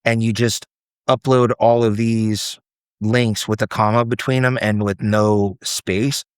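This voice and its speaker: A man's voice: fairly low pitch, level tone, a little slow, clean tone, dry recording, clean studio sound. A man giving a casual screen-share tutorial, explaining things informally step by step. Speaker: presenter demonstrating self-built apps.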